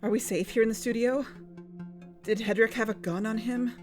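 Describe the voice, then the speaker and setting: A woman's voice: on the high side, steady tone, moderate pace, natural voice. A woman playing a determined investigator in a fiction podcast drama, earnest and insistent. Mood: tense, resolute. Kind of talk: fiction podcast drama, confessional narration and dialogue. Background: music.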